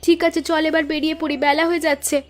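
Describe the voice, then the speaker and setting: Very high-pitched earnest young village sister voiced by a woman. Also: little pitch movement, very fast, a little hiss or hum, natural voice. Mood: cheerful. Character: two young flower-selling village sisters, earnest and hopeful. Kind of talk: Bengali moral-story cartoon dialogue.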